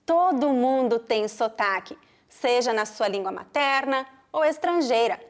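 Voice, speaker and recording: A high-pitched woman from Brazil with a mixed regional Brazilian accent. She teaches pronunciation, explaining clearly and deliberately and sounding out examples. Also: expressive. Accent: Brazilian Portuguese, mixed regional. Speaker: Portuguese teacher. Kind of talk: pronunciation lesson.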